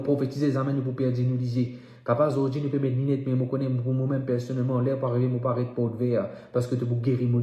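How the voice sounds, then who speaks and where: Male prayer leader, soft-edged mellow tone, repetitive incantatory delivery, roomy reverberant sound. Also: medium pitch, highs cut off. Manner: incantatory, repeating phrases with emphasis. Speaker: preacher leading prayer. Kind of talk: fervent prayer and declaration, repetitive. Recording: echoey room sound.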